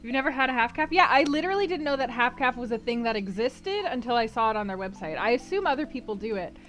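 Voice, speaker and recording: Chatty streamer woman, higher voice. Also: expressive, quick pace, a bit bright, faint music behind. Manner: chatty and rambling, laughs often, with frequent fillers. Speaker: streamer hosting a read-aloud book club. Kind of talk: casual chat with live chat before a stream reading.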